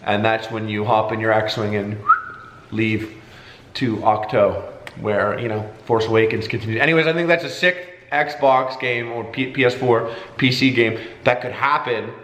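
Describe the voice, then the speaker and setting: A man's voice: fairly low pitch, fairly even intonation, normal speed, a bit bright, reverberant, rough recording. A man chatting casually to his viewers as a vlogger, with a jokey, slangy, profane way of talking.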